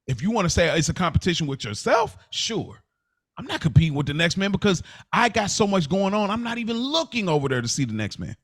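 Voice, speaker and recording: A man's voice: high-pitched, sing-song, fast. A man co-hosting a podcast in casual debate, making points emphatically and answering his own questions. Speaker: podcast co-host.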